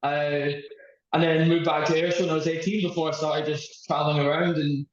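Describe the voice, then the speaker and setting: A man's voice: on the high side, flat delivery, fairly quick. A young man from Scotland with a Scottish accent, chatting casually and amiably as a guest. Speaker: podcast guest, traveller. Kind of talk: casual chat. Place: echoey indoor room at a hostel. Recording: very echoey, muffled lo-fi sound.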